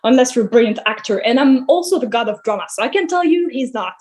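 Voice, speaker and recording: A woman's voice: on the high side, lively intonation, fast talker, a little mellow, close to an everyday voice. A woman playing a grumpy, sarcastic male god who runs a camp, in a theatrical musical table read, blustering and dismissive.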